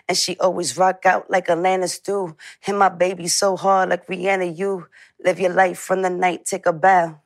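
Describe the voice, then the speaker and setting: A woman's voice: lowish voice, flat delivery, normal speed, no room sound, clean studio sound. A thirty-year-old woman rapper, speaking and rapping in a laid-back delivery.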